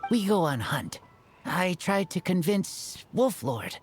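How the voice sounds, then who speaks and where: A man's voice: high voice, very animated, faint music behind, full character voice. An actor voicing a wild young man raised by wolves, speaking in clipped, broken sentences. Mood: calm. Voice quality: clipped broken speech, slow and gruff. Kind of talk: game character dialogue.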